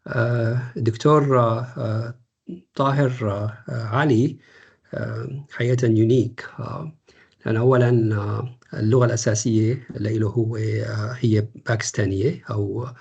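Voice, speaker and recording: Hesitant host with a gravelly husky voice. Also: male voice, medium pitch, steady tone, darkish tone, muffled recording. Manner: many drawn-out uh fillers, switches between Arabic and English. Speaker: host introducing a lecture. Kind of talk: hesitant bilingual introduction.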